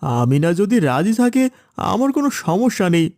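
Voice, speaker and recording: A man voicing a humble, indebted village father in a cartoon, gentle, anxious and apologetic. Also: high voice, big pitch swings, moderate pace. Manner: apologetic, pleading. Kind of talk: Bengali cartoon voice acting.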